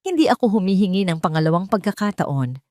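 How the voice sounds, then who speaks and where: A woman with a Filipino accent voicing a humbled, remorseful young male billionaire in an audio story, halting and earnest. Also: mid-range voice, lively intonation, dark tone, no room sound, clean studio sound, natural voice. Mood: pleading, wistful.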